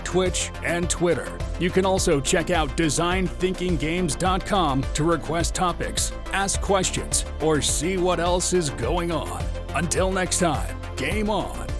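A man's voice: high-pitched, unhurried, few pauses, over music. A man hosting a podcast, reading a scripted outro announcement. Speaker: podcast host.

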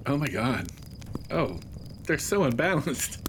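A man's voice: mid-range voice, very animated, unhurried, background noise. A man acting as an eager, idealistic gamer adventurer in a tongue-in-cheek radio play. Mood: uneasy. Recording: loud music behind dialogue.

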